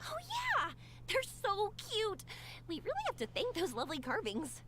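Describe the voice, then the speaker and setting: A cheerful young fireworks maker. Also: female voice, very high voice, wildly swooping pitch, moderate pace, heavily acted. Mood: excited. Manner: bright, upbeat, affectionate, giggly. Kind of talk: game voice acting. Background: game music.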